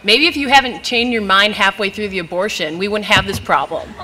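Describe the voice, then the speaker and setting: A young woman puppeteer voicing a crude, sarcastic, boozy father in a live puppet comedy, with a deadpan delivery. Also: mid-range voice, a little fast, noisy background, natural voice. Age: young adult. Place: gallery, live performance.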